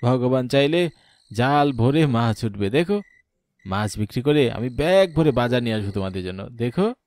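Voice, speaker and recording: A man's voice: mid-range voice, expressive, normal speed, darkish tone, close to an everyday voice. A man playing a poor, earnest village fisherman and family man in a horror cartoon. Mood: hopeful. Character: poor, hopeful village fisherman, husband and father.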